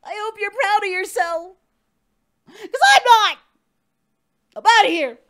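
A performer voicing an excitable young male anime hero, hosting a reaction video with melodramatic yelling and wailing. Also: very high voice, measured pace, full character voice. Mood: outraged. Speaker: reaction channel host. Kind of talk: over-the-top reaction commentary. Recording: music playing behind.

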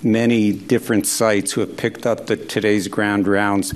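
A man hosting an event as an executive, giving steady, formal prepared remarks in a conference hall. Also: lowish voice, measured pace. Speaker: health authority executive, event host.

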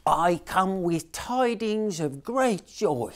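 Man doing a deadpan little boy angel, flat and slow, kinda high, halting over his lines. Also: heavily acted. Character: deadpan self-conscious small boy playing an angel.

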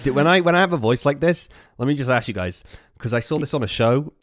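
A man streaming a game with friends, husky from being ill, playful and teasing his chat. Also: mid-range voice, expressive, fast. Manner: playful, self-mocking, talks to chat. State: sick, husky voice. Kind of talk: casual live stream chat.

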